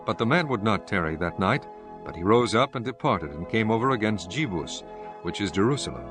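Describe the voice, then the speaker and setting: A male narrator reads scripture from a script. His pitch is on the low side and his delivery is solemn and formal, with quiet music underneath. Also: expressive, a little fast.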